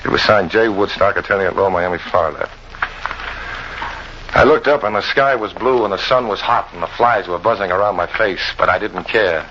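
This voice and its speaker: A wary American adventurer in an old radio play, telling his tale in terse hard-boiled style. The voice is low and bright, on a dull, hissy vintage recording. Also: fairly quick. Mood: wry, relieved. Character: wary male impostor adventurer, hard-boiled first-person narrator. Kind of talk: old-time American radio drama acting.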